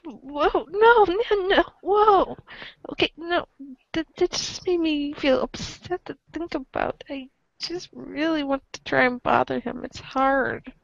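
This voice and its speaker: A woman voicing an awkward, easily flustered alien troll teen in an amateur comic script reading, halting and stammering. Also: high voice, gravelly, no echo, dull audio, full character voice. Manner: halting, stammering, full of ums.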